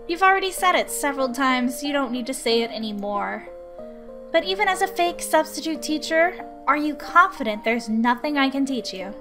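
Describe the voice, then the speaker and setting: A woman voicing a patient, formal female researcher working as a substitute tutor in a visual novel. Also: high-pitched. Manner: polite, measured, earnest. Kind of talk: visual novel character reading.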